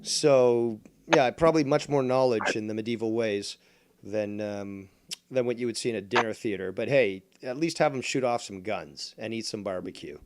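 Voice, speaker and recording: A man's voice: lowish voice, normal speed, noisy background. A man hosting a casual call-in hangout, joking, swearing and rambling through long explanations. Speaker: host. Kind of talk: casual chat.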